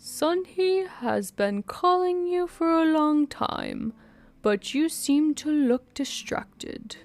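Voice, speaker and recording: A woman voicing a smiling, apologetic young male student in a story, speaking in a measured way. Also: sits high, expressive, pitched above the performer's own voice, heavily acted.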